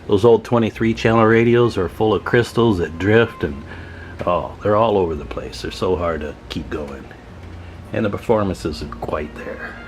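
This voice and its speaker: Low-voiced man chatting at a workbench. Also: moderate pace, faint music behind. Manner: informal, folksy, talking to viewers while tinkering. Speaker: host of a radio repair channel. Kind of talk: casual hands-on workbench commentary. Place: at a workbench, indoors.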